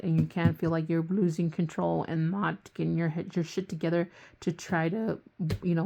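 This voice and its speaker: Woman tarot reader, low-ish pitch, gravelly voice going hoarse, loose rambling delivery with casual swearing. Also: darkish tone, a little hiss or hum. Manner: rambling, often restarts sentences. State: losing her voice. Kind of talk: casual tarot reading to camera.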